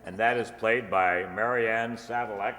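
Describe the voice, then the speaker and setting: A man's voice: on the low side, steady tone, normal speed, pushed and bright. A man announcing the credits of a live stage show as its master of ceremonies. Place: live hall before an audience. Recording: echoey hall with noisy audience. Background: audience noise.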